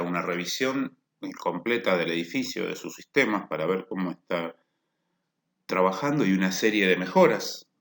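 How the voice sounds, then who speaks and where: A man with a somewhat low voice delivers a technical workshop lecture. He explains patiently, restating ideas and checking that listeners follow. The recording carries a noticeable room echo. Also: a bit bright. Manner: explanatory, rephrases points with "o sea" and "¿no?". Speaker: energy efficiency lecturer. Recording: echoey room.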